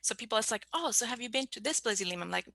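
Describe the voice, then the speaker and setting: Gravelly-voiced woman scientist. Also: on the high side, lively intonation, sharp tone, no room sound. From Peru. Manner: halting, with many fillers and restarts. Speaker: scientist presenter. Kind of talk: online science talk.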